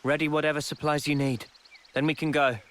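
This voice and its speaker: A man voicing a calm, earnest young male soldier leader in a video game, explaining things in a measured way. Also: fairly high pitch, lightly acted. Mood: steady, resolved. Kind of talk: video game cutscene dialogue.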